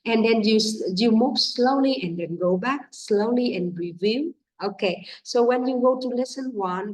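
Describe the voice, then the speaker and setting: A woman English teacher with a husky voice and Vietnamese as her first language, speaking non-native English that halts often on fillers as she guides other teachers. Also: medium pitch. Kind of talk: informal teaching guidance. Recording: distant from the mic.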